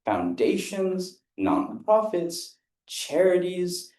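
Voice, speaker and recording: A man's voice: high-pitched, sing-song, drawn-out words, darkish tone, echoey room. A man who grew up in India, interpreting a Buddhist teacher for an audience in a loose, rambling way with lots of fillers and restarts. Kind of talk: interpreting a dharma talk, casual. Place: event room with an audience.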